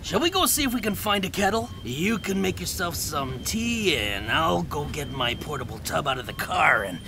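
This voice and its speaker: A man's voice: fairly high pitch, very animated, moderate pace, background noise. A man playing a cranky, impatient police lab chief who grumbles in exasperation, in a comic sci-fi audio drama. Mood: grumpy.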